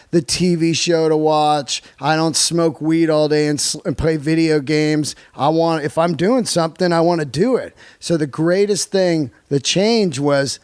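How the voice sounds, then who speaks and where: A man's voice: on the high side, unhurried, a little mellow, clean tone, no echo. A man hosting a casual podcast conversation, speaking emphatically and in slangy language, often repeating himself for effect. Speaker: podcast host, comedian and musician.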